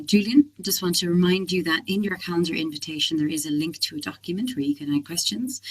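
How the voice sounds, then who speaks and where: Young woman host, slightly low voice, brisk and organized, opening a webinar. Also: a bit bright, slightly rough, rough recording. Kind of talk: webinar introduction.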